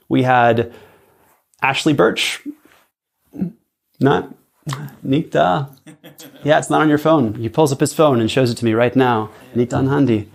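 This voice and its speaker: Creaky-voiced man chatting in an interview. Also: mid-range voice. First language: English. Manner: mixes English with halting German. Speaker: podcast guest, audio drama creator. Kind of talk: casual interview chat.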